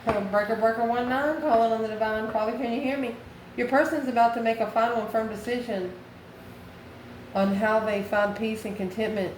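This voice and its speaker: A woman's voice: a little high, steady tone, moderate pace. A woman giving a tarot reading straight to her viewers, in a folksy, familiar manner with emphatic repeated phrases. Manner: folksy, familiar, addresses listener as honey and baby. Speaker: tarot reader, channel host. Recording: echoey room with clear background noise.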